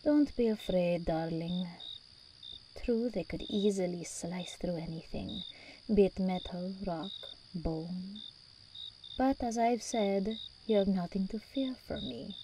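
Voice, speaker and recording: A woman's voice: medium pitch, slow pace, background noise, natural voice. A woman playing a regal, seductive demon princess in an ASMR roleplay, theatrical and teasing, commanding yet tender with her endearments. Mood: soothing, seductive.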